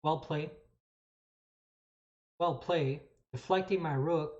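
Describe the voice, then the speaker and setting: A chess streamer from Canada with a French Canadian accent talks through moves in a mid-pitched voice. The delivery is casual and halting, with phrases repeated as thoughts form. The sound is a little dull and lo-fi. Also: darkish tone. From New Brunswick, Canada. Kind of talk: casual live commentary explaining moves.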